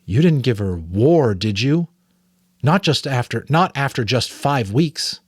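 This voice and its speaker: A man's voice: medium pitch, animated, measured pace, slightly rough, no room sound, studio quality, voice pushed up. A man voicing an earnest, anxious young boy pupil who asks hesitant questions, as in a storybook. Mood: shocked, protesting.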